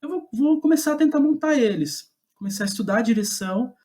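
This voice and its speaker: A man's voice: very high voice, animated, fast, dark tone. A man with a Brazilian accent speaking casually as an interview guest, recounting his own career in a self-deprecating, chuckling way. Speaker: guest playwright.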